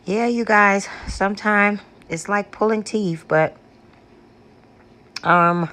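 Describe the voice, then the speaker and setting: A woman with a deep, coarse voice, slowly and gently calling out to spirits on her front porch and then chatting casually with her viewers. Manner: gentle, pleading, repetitive calling out. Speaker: paranormal vlogger. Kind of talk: slow, hushed spirit invocations mixed with casual chat to viewers. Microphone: external microphone.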